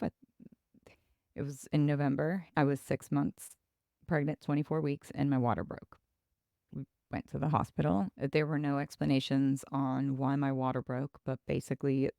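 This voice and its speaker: A podcast host from Ecuador, a woman telling her own story in a reflective, halting way with pauses and restarts. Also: a bass voice, gravelly, warm tone, no room sound, studio quality. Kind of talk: personal storytelling.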